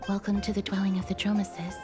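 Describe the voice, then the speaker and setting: A woman's voice: medium pitch, monotone, fast, close to an everyday voice. A woman playing a polite, welcoming character in a video game. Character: polite, welcoming female character greeting visitors. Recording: game music under the voice.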